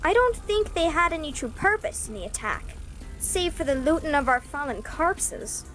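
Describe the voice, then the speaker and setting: A woman's voice: sits high, big pitch swings, normal speed, a bit bright. A woman playing a small, forthright female fairy in a fantasy audio story, with a pert, piping delivery. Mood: thoughtful.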